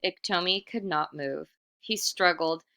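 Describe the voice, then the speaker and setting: Low-pitched woman reading a story aloud. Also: unhurried, smooth. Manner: animated, asks the listener questions. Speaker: storybook reader. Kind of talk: expressive read-aloud narration.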